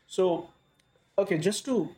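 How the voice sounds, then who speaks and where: A man's voice: high voice, slow, warm tone. A man interviewing as a podcast host, attentive and often repeating or affirming what he hears. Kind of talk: conversational interviewing.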